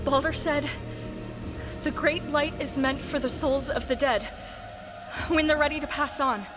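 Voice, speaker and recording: A woman's voice: sits high, fairly even intonation, unhurried, over music. A woman playing a feisty, loyal young adventurer in a fantasy audio drama, urgent and defiant.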